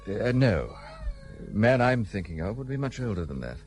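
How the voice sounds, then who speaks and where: A blunt, guarded gentleman, animated delivery. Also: lowish voice, moderate pace, soft background music. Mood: guarded, reserved. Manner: formal, clipped, brusque. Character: guarded, blunt, bitter British gentleman in colonial India. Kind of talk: period radio play acting.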